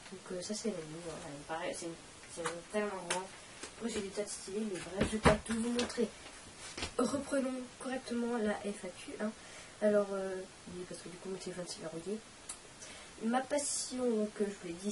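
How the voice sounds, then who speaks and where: A woman's voice: medium pitch, far from the mic, noisy background. A teenage girl with a cold, chatting in a rambling, self-mocking way while hosting a casual question-and-answer vlog. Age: teens. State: has a cold, coughing. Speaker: channel host.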